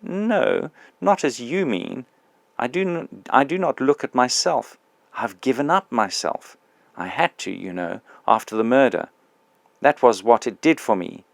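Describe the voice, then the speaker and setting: A serene, joyful male spirit, voiced by a male narrator answers in a soft, patient, reassuring tone. The voice is quieter than the narration, mid-pitched for a man. The sound is close and dry. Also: animated, unhurried, creaky, dry recording. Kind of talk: audiobook reading.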